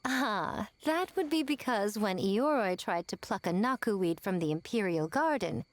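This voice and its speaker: A woman's voice: medium pitch, animated, moderate pace, no echo, natural voice. A woman playing a thoughtful, knowledgeable female advisor in a video game, explaining politely and articulately.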